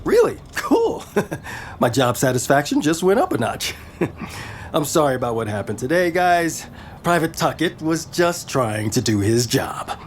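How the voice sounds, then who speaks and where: An actor playing a friendly, wry army captain in an audio drama, with a playful, theatrical delivery. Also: male voice, fairly high pitch, huge pitch swings, a little slow, slight background noise. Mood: amused, friendly. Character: jovial, wry military captain, food-loving and friendly.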